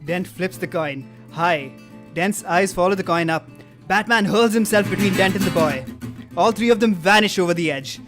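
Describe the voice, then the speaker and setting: High-pitched male narrator over guitar. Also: moderate pace. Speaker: narrator of a script table read. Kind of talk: dramatic stage-direction reading with casual asides. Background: live guitar music.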